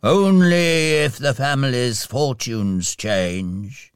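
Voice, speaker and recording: A man's voice: mid-range voice, takes it slowly, clean tone, dry recording, studio quality, close to an everyday voice. A man voicing a stern, sorrowful male spirit in a storybook, making grave pronouncements.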